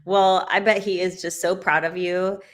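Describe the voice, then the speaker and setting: A woman's voice: on the low side, little pitch movement, moderate pace. A woman hosting a casual interview, talking in an upbeat, effusive way. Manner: upbeat, effusive, laughs easily. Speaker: host.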